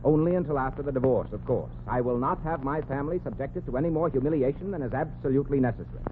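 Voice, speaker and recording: A man playing a languid, sardonic rich gentleman. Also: mid-range voice, fast talker, lightly acted. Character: suave weary rich man, dryly sardonic. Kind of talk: 1940s radio comedy acting. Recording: tinny, hissy old radio broadcast.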